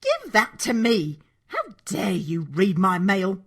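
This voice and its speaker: A woman's voice: mid-range voice, huge pitch swings, slow, no room sound, clean studio sound, heavily acted. A woman acting a vain, bossy, cruel female villain in a children's storybook, with a haughty, scolding manner. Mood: angry, scolding. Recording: faint music behind the voice.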